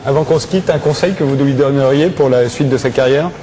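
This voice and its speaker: A man's voice: mid-range voice, a little fast, mellow, slight background noise, low-quality recording. A man hosting an interview, asking probing, lightly teasing questions. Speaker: interviewer, host.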